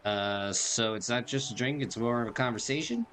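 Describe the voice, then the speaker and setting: A man's voice: mid-range voice, moderate pace, fairly bright tone, slight background noise. A man giving casual game commentary as a streamer, chatty, slangy and laid-back. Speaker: gaming streamer. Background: faint game music.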